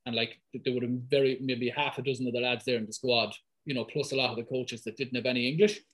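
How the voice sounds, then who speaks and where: Male guest, thick Irish accent, mid pitch, rapid chatty delivery. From west of Ireland. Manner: fast, lively talker, many fillers. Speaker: guest, football fitness coach. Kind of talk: casual podcast conversation.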